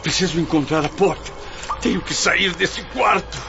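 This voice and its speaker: A man's voice: high voice, normal speed, vocal fry, over music. An actor playing a blind, wounded man who has taken his own life, frightened and desperate, in a radio drama. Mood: fearful. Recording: dull recording with loud noise behind.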